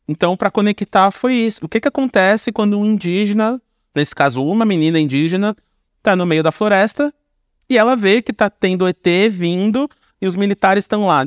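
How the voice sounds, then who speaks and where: A man's voice: high-pitched, dry recording, studio quality. A man in his forties with a Brazilian accent, talking casually and reflectively as an interview guest, using slang and everyday examples. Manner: informal, slangy, explains through examples. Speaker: podcast guest, storyteller and creator.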